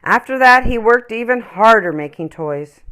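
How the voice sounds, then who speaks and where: A woman reading a children's story aloud with expressive, emphatic, dramatic phrasing. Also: fairly high pitch, moderate pace.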